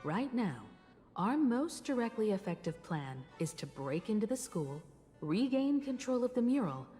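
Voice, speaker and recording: A woman voicing a composed, knowledgeable woman in a video game, explaining things in a measured manner. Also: mid-range voice, sing-song, dark tone, in a large hall, soft background music, lightly acted. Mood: calm, resolute.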